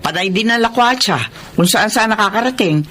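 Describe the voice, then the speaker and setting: A woman's voice: mid-range voice, animated, a little fast, a bit bright, a little hiss or hum, close to an everyday voice. A Filipino woman acting as a fretful, protective middle-aged mother in a radio drama. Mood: exasperated.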